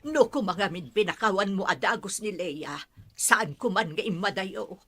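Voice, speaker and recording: A woman's voice: medium pitch, lively intonation, normal speed, music in the background, lightly acted. An actress playing a grieving wife who blames her husband in an Ilocano radio drama. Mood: accusing, anguished.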